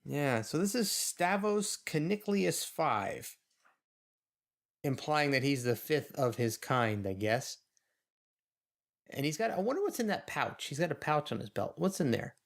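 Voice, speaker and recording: A man's voice: on the high side, very animated, measured pace, vocal fry. A man co-hosting a podcast, giving casual, wryly amused commentary on an episode as he watches. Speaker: podcast co-host.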